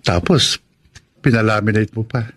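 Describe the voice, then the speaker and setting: A man's voice: lowish voice, normal speed, a bit bright. A man playing a devoted, easygoing middle-aged suitor in a radio drama, earnest and romantic. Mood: tender. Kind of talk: Tagalog radio drama acting. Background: prominent music.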